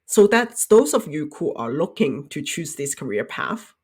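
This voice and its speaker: A young woman host with a husky, slightly breathy voice. She talks in an earnest, measured way, and her pitch moves a lot as she goes. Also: sing-song, a little mellow. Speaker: podcast host. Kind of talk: podcast intro and interview.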